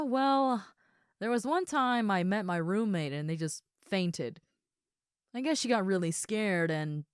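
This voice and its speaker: A woman playing a big, friendly ogre roommate in an audio roleplay, chatting casually and gently to the listener. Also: fairly low pitch, clean tone, no room sound, studio quality. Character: huge muscular red female ogre roommate, friendly and easygoing.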